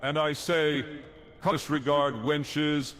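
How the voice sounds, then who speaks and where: A scheming adventurer who scoffs at romance, speaks with mock grandeur in this video game cutscene. His voice sits fairly high for a man. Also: little pitch movement, slow pace, a bit bright. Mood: grandiose. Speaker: game character.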